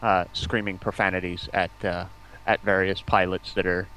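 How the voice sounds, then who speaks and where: Low-voiced man, noisy mic. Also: flat delivery, fairly bright tone. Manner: halting, repeats words. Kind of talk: casual stream chat.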